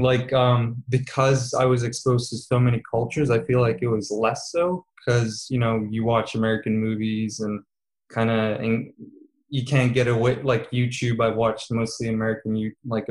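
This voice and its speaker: A man's voice: mid-range voice, fairly even intonation, moderate pace, rough recording. A man in his twenties, a student guest in a casual interview, talking with many fillers and easy laughs. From Serbia, raised in Qatar. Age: 20s.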